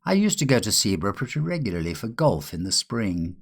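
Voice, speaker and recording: A man voicing a gentlemanly storyteller who confides an odd memory in a conversational, clubbish manner. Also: on the low side, sing-song, fairly quick, gravelly, exaggerated voice. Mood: confiding.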